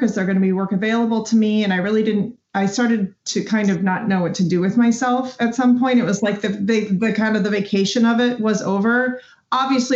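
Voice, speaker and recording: A woman talking casually and candidly as a podcast guest, reflecting in an introspective way. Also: medium pitch. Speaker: podcast guest, audio drama actor. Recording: dull, roomy, echoey audio.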